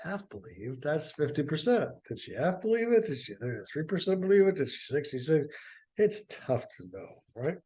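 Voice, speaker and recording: A man's voice: fairly high pitch, sing-song, normal speed, mellow. A man reading a story aloud and breaking off for sarcastic, mocking commentary. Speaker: reader and commentator.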